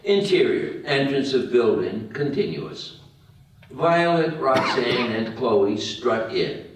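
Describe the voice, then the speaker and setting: Slow-paced man narrating in an echoey room. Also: medium pitch, big pitch swings, noisy background. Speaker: stage directions narrator. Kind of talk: scripted screenplay narration at a table read. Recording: very echoey room, distant mic.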